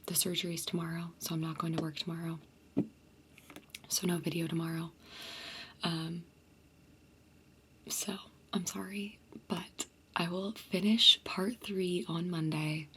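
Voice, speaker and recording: A woman's voice: lowish voice, flat delivery, a little slow, long pauses, bright, forceful tone, slight background noise. A young woman vlogger whispering a casual, chatty storytime to her viewers. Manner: chatty, many fillers.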